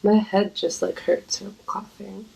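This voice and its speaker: A woman with a congested, creaky voice and a cold, chatting casually and intimately to viewers in a flirty, playful manner. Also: mid-range voice, little pitch movement, a little slow, a little mellow, a little hiss or hum, rough recording.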